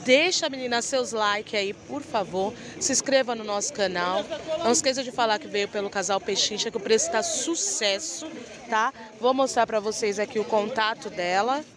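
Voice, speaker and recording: A woman's voice: fairly high pitch, normal speed, a crowd in the background. A woman with a Brazilian Portuguese accent hosting a shopping vlog, praising clothes in an enthusiastic sales patter. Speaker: vlog host showing market clothes. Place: busy night street market outdoors. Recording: noisy street with traffic. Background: street and traffic noise.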